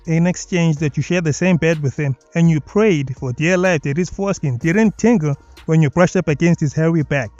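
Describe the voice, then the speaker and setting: A man's voice: a little high, normal speed, hardly any pauses, a little mellow, dry recording, lo-fi sound, soft background music. A man with a South African accent hosting a reaction commentary, mocking and sarcastic in tone. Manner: mocking, sardonic. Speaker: commentary video host.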